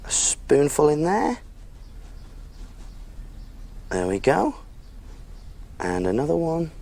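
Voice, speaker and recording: Friendly children's TV cook, lively varied intonation, encouraging, simple instructional phrasing. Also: male voice, on the high side, a little slow, gravelly, heavily acted. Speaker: children's cookery presenter. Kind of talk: preschool cooking demonstration.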